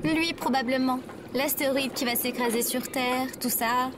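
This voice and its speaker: High-pitched, sarcastic AI companion acted by a woman. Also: moderate pace, lightly acted. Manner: formal, deadpan sarcasm, fussy. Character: pedantic, sarcastic female AI companion. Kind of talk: comedic French audio fiction acting. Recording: very noisy background of ambient effects.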